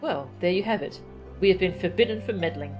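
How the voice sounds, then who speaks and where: A woman's voice: on the low side, animated, a little fast, music in the background, natural voice. A woman voicing a polite, well-spoken youth from a fantasy game, reading the formal lines aloud. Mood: wry, expectant.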